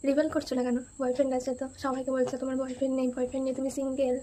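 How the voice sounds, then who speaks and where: A young woman talks extremely fast in a high voice. She is teasing and insistent, like a prank caller pushing a casual chat along. There is clear background noise on the recording. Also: monotone. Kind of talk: casual prank phone chat with asides to viewers.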